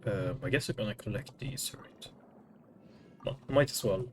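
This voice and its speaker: A man's voice: on the low side, moderate pace, music in the background. A man streaming a game with casual, offhand, muttered commentary.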